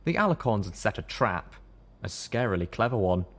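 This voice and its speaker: Low-voiced man narrating in an echoey room. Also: very animated, moderate pace, fairly bright tone. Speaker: narrator, audiobook reader. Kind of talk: scripted story narration. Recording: echoey room, audible background noise.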